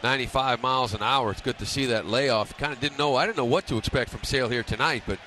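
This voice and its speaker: Male American color commentator, rapid and forceful, conversational, opinionated, live from a ballpark booth. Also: mid-range voice, slight background noise. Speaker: baseball radio color analyst. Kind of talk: unscripted live sports commentary.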